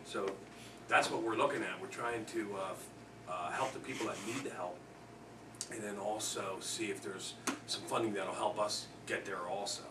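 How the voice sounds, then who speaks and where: A man's voice: fairly low pitch, fairly even intonation, normal speed. An American man from Pennsylvania, a builder explaining a proposal informally at a public meeting. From Pennsylvania, United States. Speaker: construction company owner presenting a proposal. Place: indoor public meeting room. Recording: distant, echoey, noisy meeting room recording.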